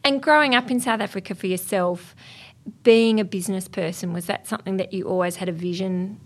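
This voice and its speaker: Woman, interviewer, mid pitch, inquisitive, gently prompting. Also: fairly even intonation, fairly quick. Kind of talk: interview questions.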